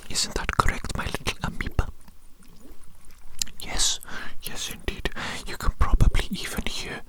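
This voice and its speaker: A performer whispering an ASMR roleplay as a doting, rambling evil scientist, with breathy, repetitive, grandiose and formal phrasing. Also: unhurried, natural voice. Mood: doting, intrigued. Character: evil mad scientist doctor, doting, rambling, existentially brooding creator.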